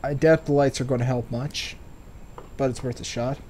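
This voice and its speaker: Male gaming commentator, dark relaxed tone, medium pitch, loose and wordy, slight background noise. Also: moderate pace. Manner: rambling, speculative, sweary. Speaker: let's play host. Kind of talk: casual game commentary, reading game text aloud.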